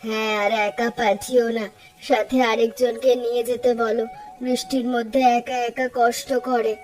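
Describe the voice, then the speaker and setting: A woman's voice: on the high side, flat delivery, normal speed, darkish tone, distant mic, soft background music, natural voice. A woman playing a caring, nostalgic middle-aged village mother in a Bengali cartoon story, with a wistful, homely way of talking. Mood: hesitant, caring.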